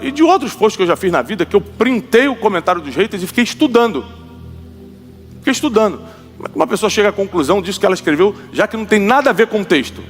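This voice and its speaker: A man's voice: high voice, big-room sound. A man, preaching an emphatic sermon and having the audience repeat lines. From Rio de Janeiro, Brazil.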